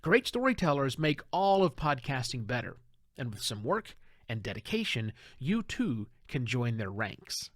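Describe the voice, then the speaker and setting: A middle-aged man hosting a podcast, delivering a conversational advice monologue with an emphatic storyteller's delivery and dramatic pauses. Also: mid-range voice, sing-song, a bit bright, dry recording, studio quality. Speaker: podcast host.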